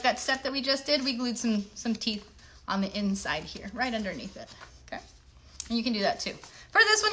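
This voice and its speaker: Bright, high-voiced woman teaching kids crafts. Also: slight background noise. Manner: chatty, encouraging, often asks the viewers questions; simple child-directed wording. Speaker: children's craft livestream host. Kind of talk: step-by-step craft demonstration for children.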